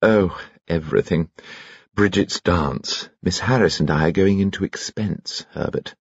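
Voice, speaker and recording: A man's voice: sits low, a little slow, slightly rough, no room sound, highs cut off, full character voice. A man voicing a tired, anxious society lady who is also a mother, gushing yet weary in manner.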